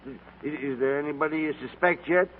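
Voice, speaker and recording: An older man playing a gruff, domineering, irascible department store tycoon in 1940s radio comedy style. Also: fairly high pitch, a little fast, lightly acted. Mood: brusque. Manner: blustery, imperious. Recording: scratchy, tinny 1940s radio broadcast.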